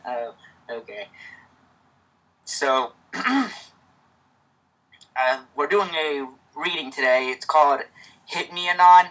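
A man's voice: on the high side, lively intonation, slow pace, pauses often, a bit bright, rough recording. A man with a stutter hosting a casual reading of a fan story, with many restarts.

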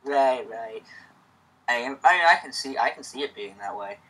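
Casual man with a stutter and a mid-pitched voice, chatting, then reading a story aloud. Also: normal speed, pushed and bright, slightly rough, poor audio. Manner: stutters, with restarts.

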